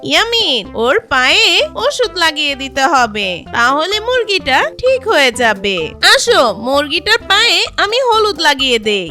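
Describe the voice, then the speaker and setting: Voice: sits high, sing-song, moderate pace, sharp tone, faint music behind, full character voice. A performer voicing a practical village woman in a children's cartoon, speaking in a matter-of-fact, instructing way. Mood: gently concerned. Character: practical village woman, mother or farm owner. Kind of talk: children's moral cartoon dialogue.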